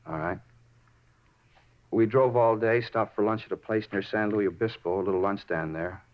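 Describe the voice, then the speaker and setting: An actor playing an unhinged, self-justifying murder suspect in a 1950s radio drama, rambling over small details and repeating himself. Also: male voice, fairly low pitch, normal speed, lightly acted. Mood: dreamy, insistent. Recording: muffled 1950s radio recording.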